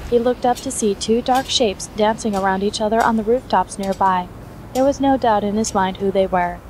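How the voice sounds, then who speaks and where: A woman's voice: mid-range voice, normal speed. A woman reading a scripted story aloud as narrator. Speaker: fan fiction reader, narrator. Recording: noisy hobby recording, steady background noise.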